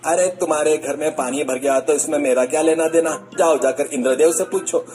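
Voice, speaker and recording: A man's voice: on the high side, brisk, voice pushed up, close to an everyday voice. A man voicing a selfish, corrupt village politician in a cartoon story. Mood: dismissive, irritated. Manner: dismissive, boastful. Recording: music bed under the voice.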